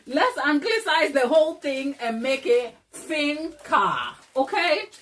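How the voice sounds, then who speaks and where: A woman's voice: high voice, sing-song, takes it slowly, a bit bright, a little hiss or hum. A middle-aged woman from Ghana, hosting a cake tutorial live stream in a chatty, laughing way and talking straight to her viewers. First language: Twi. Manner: chatty, laughs often, addresses viewers directly.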